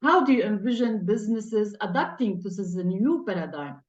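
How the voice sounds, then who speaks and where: A woman's voice: mid-range voice, lively intonation, normal speed, roomy sound, lo-fi sound. A woman hosting an interview in a formal, scripted presenter style. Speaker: host.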